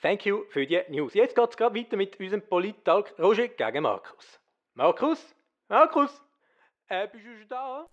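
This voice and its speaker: An actor playing a breezy local radio news presenter in a parody broadcast, with chirpy patter and a Swiss German accent. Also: male voice, high voice, very animated, moderate pace. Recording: dull lo-fi radio sound.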